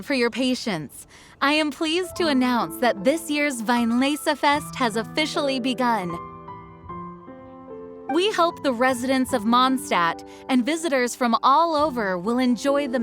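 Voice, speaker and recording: A woman voicing a composed leader who makes a formal, measured announcement. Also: high-pitched. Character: composed woman leader giving a public address. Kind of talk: game voice acting.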